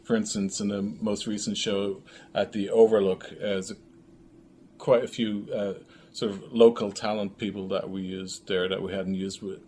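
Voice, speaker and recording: A man's voice: fairly low pitch, level tone, normal speed, a little mellow. A man talking casually as an interview guest about his creative work, in a hesitant, rambling way. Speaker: guest, audio drama writer and director. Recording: noticeable background room noise.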